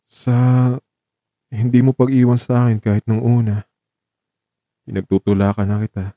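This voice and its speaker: A man playing an ailing, tender and teasing man in an audio drama, speaking softly and haltingly with sentiment. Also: fairly low pitch, steady tone, dry recording, studio quality, lightly acted. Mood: tender, grateful. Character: ailing young man, tender, teasing, grateful to his companion. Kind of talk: Tagalog audio drama acting.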